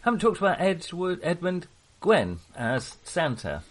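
A man's voice: sits high, big pitch swings, measured pace. A man hosting a casual film discussion, speaking hesitantly and often correcting himself as he digresses. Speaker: podcast host.

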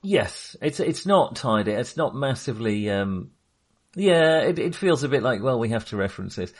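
A man's voice: medium pitch, very animated, moderate pace. A man co-hosting a podcast in casual film discussion, hesitant and often correcting himself as he qualifies his opinions. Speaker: podcast co-host.

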